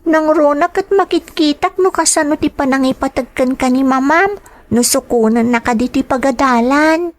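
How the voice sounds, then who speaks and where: A woman's voice: high voice, moderate pace, lightly acted. A woman voicing an earnest, polite young schoolgirl daughter in an Ilocano audio drama. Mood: wistful, grateful.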